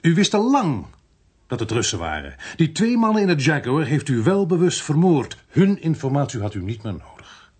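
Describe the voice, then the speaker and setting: A suspicious police inspector, radio drama, husky, really animated intonation, pointed and deliberate. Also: male voice, a little high, heavily acted. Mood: accusing, firm. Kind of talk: Dutch radio play acting with first-person narration.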